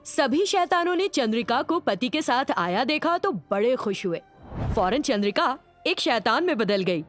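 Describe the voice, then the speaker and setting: Woman storyteller, high animated voice, music behind, scripted cartoon tale. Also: normal speed. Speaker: narrator. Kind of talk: scripted children's story narration.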